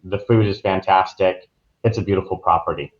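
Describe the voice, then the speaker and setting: Casting: American male travel pro, lowish voice, casual explainer in interview, muffled lo-fi call audio. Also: fairly even intonation, brisk. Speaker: travel agent guest. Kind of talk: conversational podcast interview. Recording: dull, lo-fi online call audio.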